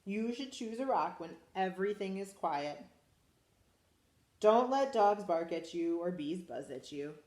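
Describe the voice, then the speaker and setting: A school principal, a woman, reading a picture book aloud to children in a lively, expressive way. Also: fairly low pitch, a little slow, echoey room. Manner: animated, expressive storytelling to children.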